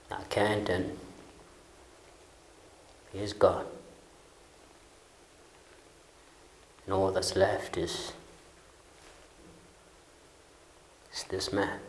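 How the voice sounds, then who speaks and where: A man performing a dramatic monologue as a bitter, regretful long-serving convict, slowly and with halting pauses. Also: sits low. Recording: echoey room with noise.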